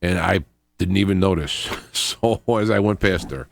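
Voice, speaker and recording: A man talks through his own video report. The sound is very dry, with no room echo. His delivery is informal and rambling, halting as he rephrases himself. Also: sits low, vocal fry. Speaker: host of an independent radio show.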